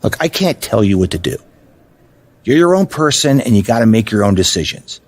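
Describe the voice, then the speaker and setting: A man playing a stern, frank veteran basketball coach in a video game cutscene, blunt and firm but supportive in a measured delivery. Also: on the low side, very animated.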